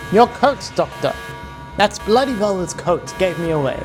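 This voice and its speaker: Guy acting as cheeky brave spy in a war audio play, high voice, music under it. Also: animated, moderate pace, rough recording. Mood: wry. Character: plucky, wry male wartime spy.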